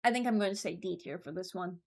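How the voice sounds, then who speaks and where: A woman's voice: lowish voice, fast talker, vocal fry. A woman hosting a ranking video, giving casual, opinionated commentary as she muses aloud. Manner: informal, musing aloud. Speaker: video host ranking voicelines.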